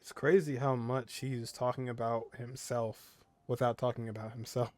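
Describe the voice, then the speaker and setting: A man streams a video game with casual commentary. His voice sits at a medium pitch, with offhand asides. Quiet music plays underneath. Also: level tone, normal speed.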